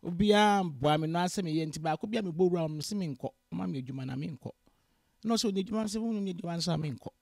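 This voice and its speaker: A woman with a Ghanaian accent tells her story in an easy mix of English and Twi, and she voices each exchange with lively energy. Her voice is gravelly and full of fry. The sound is dry and close, with no room echo. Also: lowish voice, very fast. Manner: switches freely between English and Twi, animated in retelling dialogue. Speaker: guest. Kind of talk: casual storytelling interview. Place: Canada.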